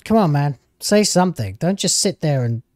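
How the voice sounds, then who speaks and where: A man's voice: a little high, animated, normal speed, a little mellow, dry recording, clean studio sound. A man in his thirties streaming from home on a headset mic, reacting to video clips in casual, argumentative commentary. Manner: argumentative, talks back at the clips.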